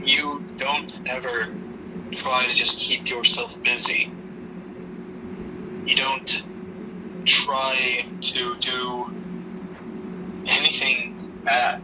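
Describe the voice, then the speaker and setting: A man playing a grieving widowed father who gently counsels his daughter in a halting, measured way in an amateur audio drama. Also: level tone. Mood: gently advising. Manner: halting, subdued, measured delivery. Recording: noisy rumbling background, distant mic.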